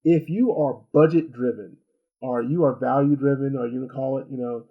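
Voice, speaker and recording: A man's voice: mid-range voice, steady tone, fairly quick, narrow, tinny audio. A man giving a casual, unscripted product review as host, rambling a little, repeating phrases for emphasis and using folksy slang.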